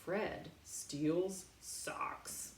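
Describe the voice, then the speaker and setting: A woman reading a picture book aloud in a playful, expressive way, pausing to put questions directly to young children. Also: fairly low pitch, creaky. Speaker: storytime reader for young children. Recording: roomy echo, faint background noise.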